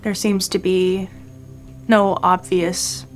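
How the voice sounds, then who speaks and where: Woman, game master, slow pace, medium pitch, improvised narration, halting delivery, faint background music. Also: little pitch movement. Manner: halting, thinking aloud.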